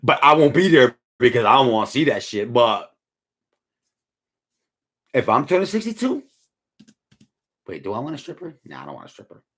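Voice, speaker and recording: Slangy tired man in his forties, husky. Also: medium pitch, moderate pace, long pauses. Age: 40s. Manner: laid-back slangy, drifts from mumbling to excited. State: tired, yawning. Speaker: live-stream vlogger. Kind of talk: casual live chat reading comments.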